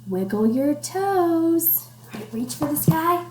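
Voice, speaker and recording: A woman with a fairly high, very animated voice reads a picture book aloud to young children. She speaks slowly, encouraging them and giving simple instructions, in an echoey room at home. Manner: encouraging, addresses children directly with questions and instructions. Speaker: teacher reading aloud to children. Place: at home, indoors. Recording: echoey room with faint background noise.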